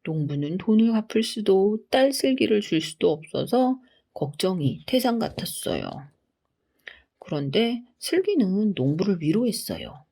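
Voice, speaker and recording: A woman reading scripted bedtime fairy tales for children in an expressive storytelling manner. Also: mid-range voice, measured pace. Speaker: storybook reader.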